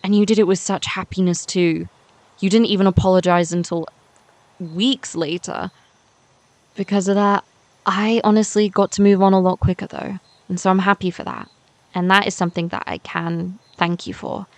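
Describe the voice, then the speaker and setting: A woman's voice: medium pitch, fairly even intonation, normal speed, no echo, lightly acted. A young woman playing a hurt, unforgiving teenage schoolgirl in an amateur voiced game series, speaking in an emphatic conversational way. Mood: hurt, accusing.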